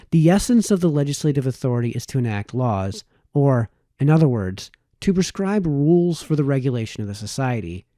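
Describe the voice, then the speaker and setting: Male audiobook reader for formal historical prose; relaxed, dark-toned voice with animated intonation, dry recording. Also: mid-range voice, no room sound. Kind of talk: reading a formal historical essay aloud.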